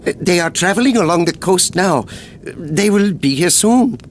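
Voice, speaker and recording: A man's voice: sits high, lively intonation, moderate pace, a little hiss or hum. An actor playing a nervous, cowering male underling in a video game, stammering and hesitant. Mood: fearful. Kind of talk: video game cutscene acting.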